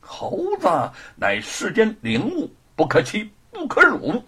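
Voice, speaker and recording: A man performs a condemned scholar from a storybook, proud and defiant. His voice is very husky and swings widely in pitch. He speaks with haughty, deliberate emphasis. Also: huge pitch swings, heavily acted. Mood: indignant.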